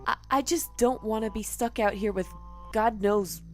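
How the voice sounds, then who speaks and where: A woman's voice: fairly high pitch, moderate pace, faint music behind, close to an everyday voice. A woman playing an anxious, complaining survivor in an audio horror story, fretful and hesitant. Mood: worried.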